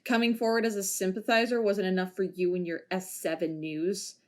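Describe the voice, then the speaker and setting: A woman's voice: medium pitch, fairly even intonation, brisk, darkish tone, natural voice. A woman voicing a retired policewoman in an amateur audio drama, dry and sardonic. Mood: guarded.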